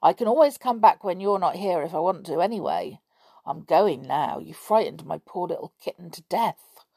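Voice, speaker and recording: A woman voicing a rough-spoken young stranger boy who calls out loudly and defiantly. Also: fairly low pitch, expressive, moderate pace, dry recording, exaggerated voice. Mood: sulky. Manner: loud, defiant calling out.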